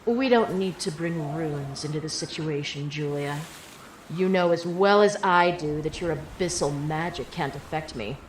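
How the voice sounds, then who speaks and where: A woman's voice: sits low, moderate pace, reverberant, slight background noise, close to an everyday voice, low-quality recording. A woman playing an imperious abyssal villain in an audio drama, drawling and taunting with mock politeness. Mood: smug, menacing. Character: mocking, imperious abyssal female villain, ancient sea witch.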